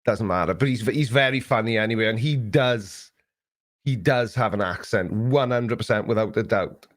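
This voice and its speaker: A man's voice: lowish voice, normal speed. Rambling, sarcastic man. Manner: rambling, sarcastic, self-mocking. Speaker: live stream host. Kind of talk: casual live Q&A chat.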